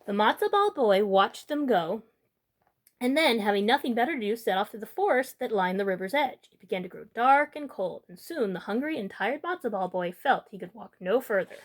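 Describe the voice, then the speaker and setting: A woman's voice: medium pitch, lively intonation, a little fast. A woman hosting a storytime, reading a children's book expressively and adding informal asides. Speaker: storytime host reading aloud.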